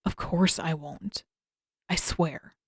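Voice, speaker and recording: A guarded, surly teenage prince performed by a woman in an audiobook. The voice drops lower and stays terse, with earnestness beneath it. The sound is close and dry. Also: fairly even intonation, slow, breathy edge, no echo, full character voice. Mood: solemn. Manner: terse, irritated, low-key. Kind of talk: audiobook character voice.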